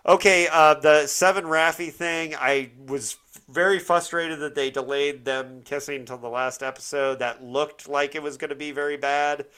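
Bright, clear-voiced man chatting casually. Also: a little high, fairly even intonation, moderate pace. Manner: rambling, self-correcting, searching for words. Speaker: podcast host. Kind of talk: casual chat, TV show discussion.

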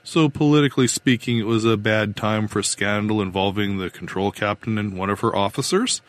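A man voicing a calm, probing middle-aged male investigator in audiobook dialogue. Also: fairly low pitch, a little fast, natural voice.

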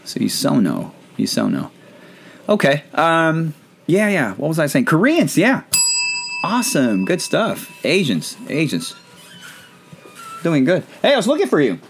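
A man's voice: a little high, wildly swooping pitch, unhurried, gravelly, long pauses, faint music behind. A Filipino man, not a native English speaker, hosting a casual, rambling, self-deprecating chat show. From Philippines. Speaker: podcast host.

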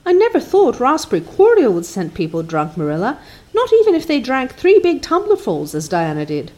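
A woman's voice: huge pitch swings, moderate pace, darkish tone. A woman voicing a dramatic, tearful young orphan girl who wails and sobs theatrically. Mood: sobbing. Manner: wailing, theatrical, high and sobbing.

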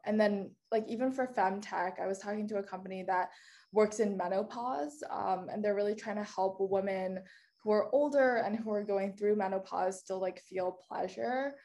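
A woman's voice: medium pitch, warm tone. A woman with an ordinary mid-range voice speaks as an interviewer in an unscripted conversation. She talks in a loose, rambling way and fills her sentences with "like". Her delivery is casual and conversational. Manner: casual, full of "like" fillers.

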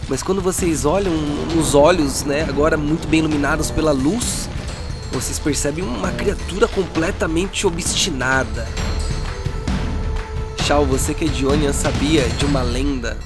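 Brazilian man narrating dramatically over music. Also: a little high. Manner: dramatic, deliberate storytelling with pauses. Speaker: game master. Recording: music bed behind the voice. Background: background music.

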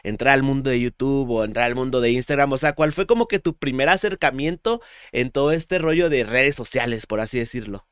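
A man with a Mexican Spanish accent hosting a podcast interview, relaxed and slangy, with many fillers. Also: mid-range voice, fairly quick, hardly any pauses, clean tone, dry recording, studio quality. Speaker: podcast host. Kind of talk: casual interview chat.

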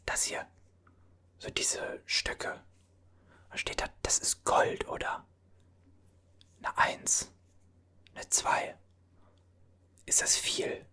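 A performer whispering as a bewildered time traveler from an older era, puzzling over strange objects in a halting voice full of restarts. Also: bright, forceful tone. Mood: puzzled. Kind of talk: whispered ASMR roleplay.

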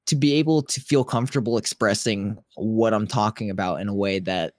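A man co-hosting a podcast in casual chat, keeping his naturally high voice pitched lower and thinking aloud in long, reflective sentences. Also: a little fast, no room sound, clean studio sound. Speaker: podcast co-host.

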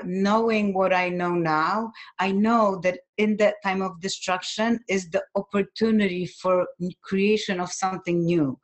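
Female interview guest from Poland, native Polish speaker, medium pitch, earnest and reflective. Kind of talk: reflective personal storytelling.

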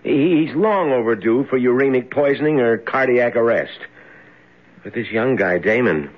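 A man's voice: medium pitch, animated, moderate pace, close to an everyday voice. An actor playing a puzzled, deferential young male hospital doctor in an old-time radio drama. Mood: puzzled, defensive. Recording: dull lo-fi old radio recording.

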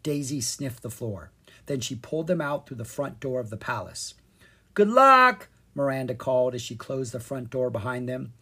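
A storyteller reading a children's storybook aloud in an expressive, animated way. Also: medium pitch, moderate pace, husky. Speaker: storyteller, podcast reader. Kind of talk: scripted narration of a children's storybook.

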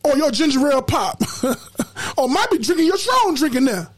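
A woman's voice: sits high, sing-song, moderate pace. A woman hosting a podcast in casual, colloquial talk, emphatic and preachy, repeating words when fired up. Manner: colloquial slang. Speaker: podcast host.

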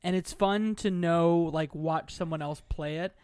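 A woman's voice: lowish voice, unhurried, a little mellow, smooth, no echo, studio quality. A woman guest on a podcast, talking in a casual, chatty way. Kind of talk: casual chat.

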